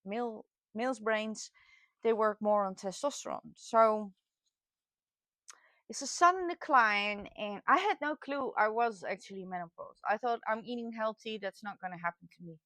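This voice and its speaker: A woman in her late forties from the Netherlands with a Dutch accent, telling her own experience casually and candidly, often pausing to search for words. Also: mid-range voice, fairly bright tone, no echo. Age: late 40s. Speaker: vlogger sharing personal experience. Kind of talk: casual personal talk to camera.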